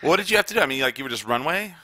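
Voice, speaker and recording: Forceful, bright-voiced man on a podcast. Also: a little high, expressive, very fast, dry recording. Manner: teasing, profane, interjecting. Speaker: podcast co-host. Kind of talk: casual comedic banter.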